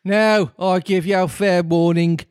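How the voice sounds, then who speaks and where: A man voicing a tyrannical, threatening storybook queen with a Birmingham accent, bossy and loud in manner. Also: high voice, steady tone, unhurried, clean tone, dry recording, voice pushed up. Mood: furious, imperious.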